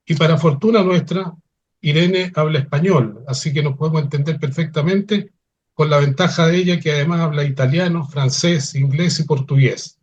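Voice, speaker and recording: A man hosting an interview, giving a formal, measured introduction and then asking questions. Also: fairly high pitch, darkish tone, husky. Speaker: interview host. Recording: dull lo-fi sound.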